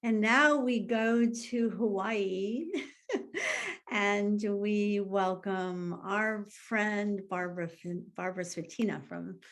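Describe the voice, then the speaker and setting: A woman minister acts as host, thanking performers and welcoming the next guest. She speaks slowly and warmly in her praise, at an ordinary middle pitch. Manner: effusive and appreciative. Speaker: minister hosting the gathering. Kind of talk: hosting, introducing guests.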